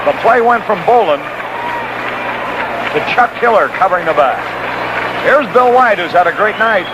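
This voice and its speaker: An American man calling baseball play-by-play on live radio in clipped, punchy phrases with pauses between plays. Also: high-pitched, expressive, a loud crowd. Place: outdoor ballpark broadcast booth. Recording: noisy, muffled 1960s AM radio broadcast.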